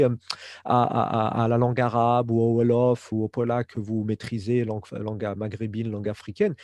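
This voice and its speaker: A man's voice: mid-range voice, dark tone, no room sound, clean studio sound. A middle-aged man giving an academic conference talk on sociolinguistics, speaking hesitantly with many fillers and restarts. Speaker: sociolinguistics lecturer.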